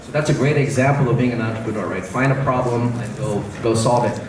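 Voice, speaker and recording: A man in his fifties, raised in Brazil, moderating a conference panel with a handheld mic and brisk questions. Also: mid-range voice, far from the mic, crowd noise. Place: conference stage in a large hall. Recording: echoey hall, mic heard from afar, noisy.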